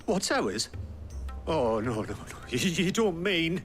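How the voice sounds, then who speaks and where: Whining henchman with swooping pitch. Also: very animated, takes it slowly, faint music behind. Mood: plaintive. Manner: plaintive, hesitant. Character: weary, whining henchman of a merchant chief. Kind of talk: video game voice acting.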